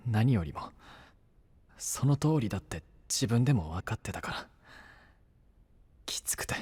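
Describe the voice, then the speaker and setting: Husky, low-voiced brash young knight. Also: male voice, big-room sound. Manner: blunt, rough masculine speech. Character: brash, rough-talking young knight, burdened younger brother. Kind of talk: Japanese anime-style voice drama.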